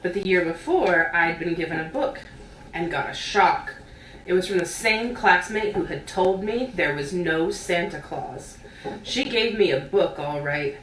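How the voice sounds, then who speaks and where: A woman giving an expressive read-aloud of a literary essay. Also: medium pitch. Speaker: reader. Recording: roomy, distant mic, faint noise.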